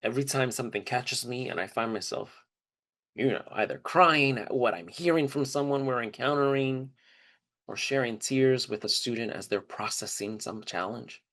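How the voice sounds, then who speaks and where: A man's voice: mid-range voice, moderate pace, a bit bright. Candid man telling a personal story. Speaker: trip leader for student service trips.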